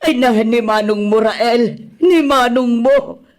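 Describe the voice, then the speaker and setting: A woman's voice: fairly high pitch, takes it slowly. A woman with an Ilocano accent playing a weary, reproachful mother who scolds and nags in a radio drama. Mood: alarmed. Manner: nagging, reproachful.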